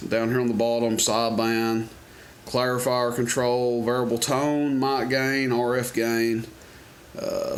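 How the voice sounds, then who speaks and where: A man giving a casual, folksy product demo in a rambling, unscripted way, like a seller showing off equipment. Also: mid-range voice. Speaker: presenter demonstrating and selling CB radios. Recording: noisy amateur camera recording, clicks.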